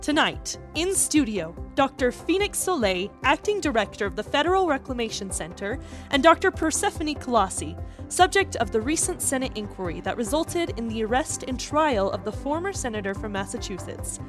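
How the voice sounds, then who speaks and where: A woman's voice: fairly high pitch, sing-song, moderate pace, soft background music. A woman playing a polished, effusive and probing talk show host in a scripted drama interview. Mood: polished, eager.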